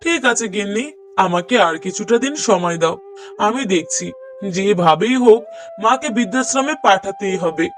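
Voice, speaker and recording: A man's voice: sits high, expressive, moderate pace. A man voicing a dismissive younger son and henpecked husband in a cartoon story, reproachful and full of excuses. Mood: placating. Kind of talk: cartoon moral story voice acting.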